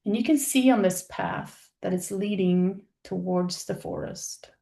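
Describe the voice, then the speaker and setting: A woman leading a guided meditation, speaking in a soothing, unhurried manner with pauses between phrases. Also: mid-range voice, gravelly, dark tone. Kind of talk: guided meditation, soft spoken visualization.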